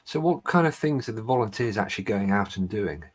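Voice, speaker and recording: A man's voice: lowish voice, lively intonation, brisk, breathy edge. A man hosting an online interview, asking questions in an easygoing way with some ums. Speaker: host. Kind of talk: casual video-call interview. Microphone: webcam on a video call.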